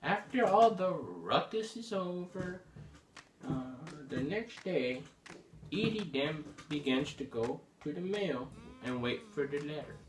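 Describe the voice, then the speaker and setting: A man's voice: on the high side, big pitch swings, slow, a little hiss or hum. A man playing a mischievous, blunt old husband telling a story in an amateur comic skit. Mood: storytelling. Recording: echoey room, music behind.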